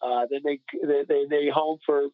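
Higher-voiced American man on a phone-like line. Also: level tone, normal speed, no room sound. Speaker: sports podcast co-host. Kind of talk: casual sports chat. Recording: muffled phone-like connection.

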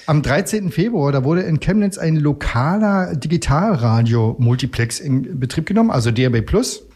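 Male studio pundit, raspy, somewhat high voice, chatty explainer with halting, self-correcting delivery. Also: expressive, a little mellow. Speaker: radio expert guest in a magazine segment. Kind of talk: conversational explaining.

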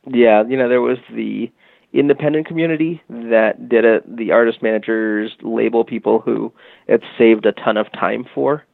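A man's voice: mid-range voice, steady tone, moderate pace, gravelly, no echo. A man guesting on an interview, telling a long story in a casual, rambling way, full of fillers and asides. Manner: rambling, many fillers and "you know". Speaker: guest, tech company executive. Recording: dry phone-like line.

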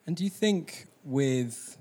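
A man's voice: on the high side, expressive, takes it slowly, dark tone, slightly rough. A man interviewing a guest in a friendly, chatty way, with playful asides and some hesitation. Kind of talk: casual interview conversation.

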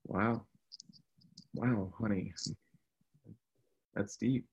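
An actor playing a playful, humble, apologetic and affectionate husband in a radio drama. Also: male voice, lowish voice, fairly even intonation, very slow, darkish tone, husky, lo-fi sound, heavily acted. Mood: humble, sincere.

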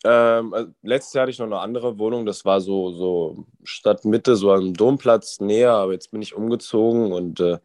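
A young man with a cold chats as a guest, relaxed and full of hesitations. His voice is very low, and the recording is dry and close. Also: moderate pace, hardly any pauses, dry recording. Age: 20s. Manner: relaxed, hesitant, lots of fillers. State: has a cold. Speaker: guest, ice hockey goalie.

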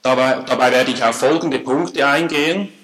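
A man with a Swiss German accent giving a formal lecture at a conference, measured and dryly humorous. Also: medium pitch, fairly bright tone, rough recording. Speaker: conference speaker. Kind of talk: academic lecture. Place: lecture hall.

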